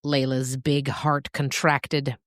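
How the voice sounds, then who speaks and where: A woman narrator reading a scripted story in a deliberate, dramatic storytelling manner. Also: very deep voice, level tone, fairly bright tone, no echo, studio quality.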